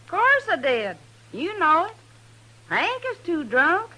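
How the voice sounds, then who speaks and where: An actress playing a stubborn, plain-spoken hillbilly wife with a rural American twang in a radio western. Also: female voice, very high voice, very animated, slow pace, pushed and bright, exaggerated voice, poor audio. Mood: matter-of-fact. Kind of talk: old-time radio western acting.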